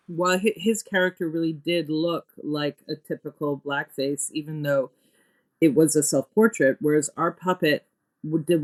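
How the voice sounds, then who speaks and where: A woman's voice: low voice, a little mellow. A woman speaking as an interview guest about her theatre work, earnest and emphatic as she thinks aloud. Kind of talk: reflective interview talk.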